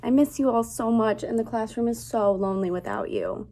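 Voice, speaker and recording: A woman in her twenties, a teacher reading a picture book aloud to young children in a deliberate, child-directed way. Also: on the high side, dark tone. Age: 20s. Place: small classroom, indoors.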